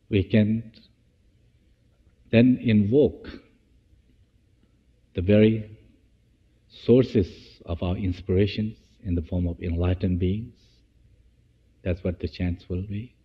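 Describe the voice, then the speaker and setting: A man leading a guided meditation as a teacher, speaking in halting short phrases and restarting as he goes. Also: on the low side, slightly rough. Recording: dull, echoey hall-like sound.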